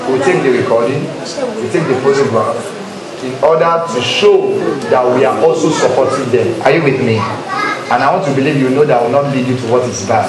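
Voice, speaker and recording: A man's voice: mid-range voice, a little fast. A very reverberant hall carries the voice of a Nigerian man with a Yoruba accent, with a crowd audible around him. He addresses a congregation as a youth leader, in an emphatic, repetitive, exhorting way. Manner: rhetorical questions to listeners, repetitive emphasis. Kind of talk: exhortation to a congregation, leading a pledge. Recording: very echoey hall with crowd noise. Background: congregation murmur.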